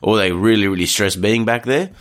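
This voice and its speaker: A man's voice: on the low side, brisk, fairly bright tone. A man with a nasal, stuffy voice from hay fever, talking casually about dog safety as a podcast host, rambling through a story with restarts. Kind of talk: casual advice talk. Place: studio.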